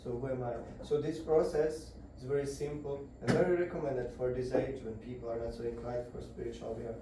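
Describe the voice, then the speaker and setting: A man giving a devotional scripture lecture to a group indoors, halting and searching for words as he explains. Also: medium pitch, fairly even intonation, mellow, slight background noise. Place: indoor gathering room. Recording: very echoey room, voice far off.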